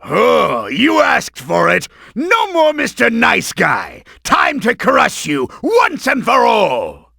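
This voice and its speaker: A man's voice: very high voice, huge pitch swings, takes it slowly, creaky, few pauses, bright, forceful tone, breathy edge, no room sound, studio quality, heavily acted. A man voicing a bombastic, scheming middle-aged mad-scientist villain in a video game, theatrical and blustering, with a hot temper. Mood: angry, threatening.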